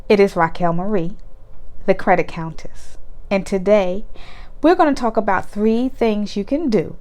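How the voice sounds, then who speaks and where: A woman with a stuffy nose from a cold speaks as a money coach, addressing the listener directly. Her delivery is earnest and encouraging, and she keeps asking questions to make the viewer reflect. Her voice sits at an ordinary middle pitch. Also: measured pace, darkish tone. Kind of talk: direct-to-camera coaching talk.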